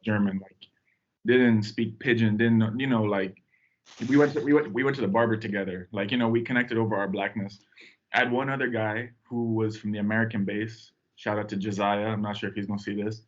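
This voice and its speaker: A young man from Tanzania, a podcast guest, telling his story in a relaxed, rambling way with lots of fillers. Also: lowish voice, fairly quick, dull audio. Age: young adult. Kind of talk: casual podcast chat.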